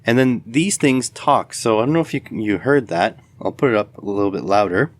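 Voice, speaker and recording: A man's voice: fairly low pitch, animated, a little fast. A man giving a casual, unscripted product demonstration in a rambling, matter-of-fact way. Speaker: video host, electronics product reviewer.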